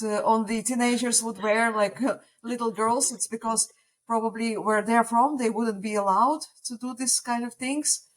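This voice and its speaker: A woman from Russia, whose first language is Russian, talks in a casual podcast chat. She speaks reflectively and often hesitates, filling pauses with "uh" and "like" as she works through her thoughts. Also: on the high side, rough recording. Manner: reflective, hesitant, many fillers.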